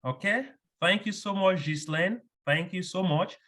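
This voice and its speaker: A man's voice: high voice, measured pace, fairly bright tone. A man hosting an online orientation, steadily moderating a video call and handing out the floor.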